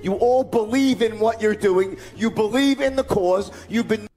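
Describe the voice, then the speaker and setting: A man's voice: high voice, measured pace, a little mellow. A middle-aged man giving a motivational stage speech into a headset mic, emphatic, repetitive and rhetorical. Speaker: motivational speaker. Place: large event stage indoors. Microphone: headset microphone.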